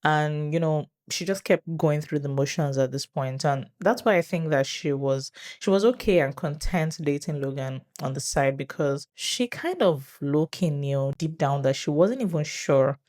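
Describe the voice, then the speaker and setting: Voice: mid-range voice, normal speed, hardly any pauses, darkish tone. A video host giving casual, reflective commentary on a TV drama, talking conversationally with small hedging asides.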